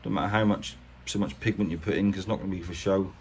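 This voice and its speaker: An English man with a fairly low voice talks casually through a hands-on job. He is colloquial and plain-spoken, like someone showing his own work. The recording has clear background noise behind him. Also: fairly even intonation, fast talker, a little mellow. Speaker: boat restorer presenting a how-to. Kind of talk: casual hands-on tutorial talk.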